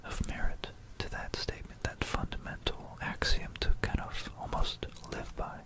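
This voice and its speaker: An ASMR host telling history in a breathy, unvoiced whisper, unhurried, pausing and softly repeating phrases, close to a stereo binaural microphone.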